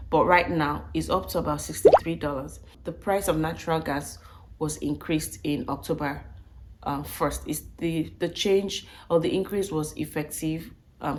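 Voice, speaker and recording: Casual female vlogger, low register, croaky from recent flu, chatty and repetitive, some background noise. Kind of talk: casual budget breakdown talk to viewers.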